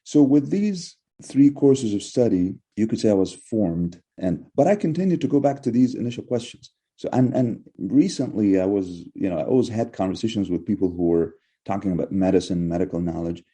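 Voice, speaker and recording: Give me a middle-aged man from Egypt, Arabic, speaking English in a low, husky voice. His answers are measured and probing, with frequent restarts as he thinks aloud. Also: expressive, dark tone. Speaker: professor, guest. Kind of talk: reflective interview answers.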